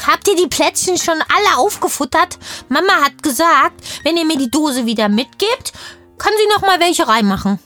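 A woman's voice: sits high, expressive, moderate pace, sharp tone, faint music behind. A woman playing a curious, chatty little girl in an audio play, telling things eagerly and in a wordy, childlike way. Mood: cheerful, eager.

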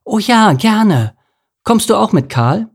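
A man's voice: on the high side, animated, measured pace, creaky, darkish tone, husky, pitched above the performer's own voice, full character voice. A man voicing a small, naive young salmon in a storybook reading, eager and wide-eyed.

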